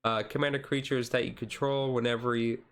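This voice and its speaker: A man streaming casual, chill card game commentary in a halting, rambling way with frequent asides. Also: medium pitch, flat delivery, a bit bright, clear, resonant tone. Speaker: streamer host.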